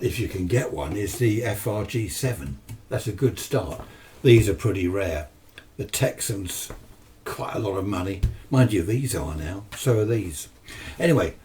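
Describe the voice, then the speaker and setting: A man's voice: lowish voice, mellow. A British man giving a casual, unscripted hobby talk as an amateur radio enthusiast, chatty, rambling and matter-of-fact. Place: home radio shack, indoors. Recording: distant microphone, noisy room ambience.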